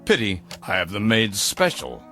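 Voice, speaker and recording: A man's voice: on the low side, huge pitch swings, moderate pace, pushed and bright, faint music behind, full character voice. An actor playing a stern, suspicious boss in a radio drama, formal and clipped as he interrogates. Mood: quietly menacing. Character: stern, suspicious male boss, quietly menacing. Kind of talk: old-time radio style drama acting.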